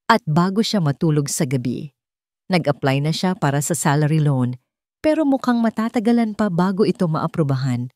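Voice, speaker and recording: A woman's voice: low-pitched, expressive, normal speed, a little mellow, dry recording, clean studio sound. A woman with a Filipino accent narrating a scripted romantic drama as a storyteller.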